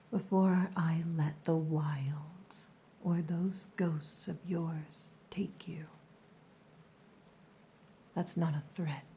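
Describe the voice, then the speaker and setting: Woman as a slow, whispering, possessive orc lover. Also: deep voice, slight background noise. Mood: possessive, protective. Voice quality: breathy, largely whispered. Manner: slow, hushed, softly commanding. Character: possessive, gruff but tender female orc lover. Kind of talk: ASMR roleplay.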